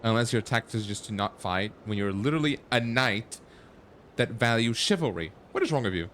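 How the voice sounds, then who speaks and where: A man's voice: mid-range voice, very animated, a little fast, fairly bright tone, a little hiss or hum. A man giving casual live commentary on a video game, with dry, wry asides. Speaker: streamer. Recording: game music behind the voice. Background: game music.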